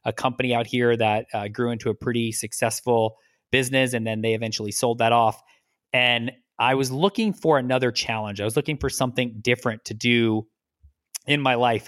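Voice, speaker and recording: Middle-aged man from the US, mid pitch, rambling guest storyteller, dry close sound. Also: dry recording. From United States. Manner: relaxed, rambling, repeats words. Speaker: guest, lawyer. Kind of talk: casual interview storytelling.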